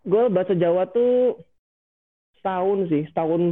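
A man's voice: high-pitched, normal speed. A young man from Bandung, a podcast guest talking casually and enthusiastically about his student years. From Bandung, Indonesia. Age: young adult. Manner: relaxed, enthusiastic, laughs at himself. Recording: thin phone-like sound.

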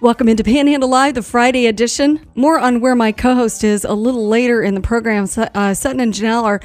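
A woman's voice: on the high side, steady tone, fast talker, soft background music. An American woman chatting informally as host of a live radio interview. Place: radio studio.

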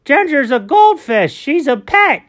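A woman's voice: high-pitched, sing-song, a little slow, pitched above the performer's own voice. A woman voicing a kindly adult man who patiently explains things to his cat. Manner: gentle, patient and coaxing. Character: patient kindly adult male pet owner.

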